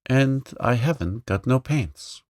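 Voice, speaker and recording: Male reader doing a humble lame boy in a storybook: meek, higher voice, slow. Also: big pitch swings, no room sound, studio quality. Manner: humble, plain-spoken, says "ain't"-style slang. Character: poor lame young boy, meek and cheerful.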